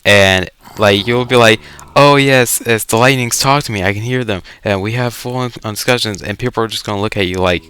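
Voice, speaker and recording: A man's voice: lowish voice, fairly quick, a bit bright, clear, resonant tone. A man chatting casually while gaming, with a playful, teasing, joking way of talking over online voice chat. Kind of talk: casual chat during online game play.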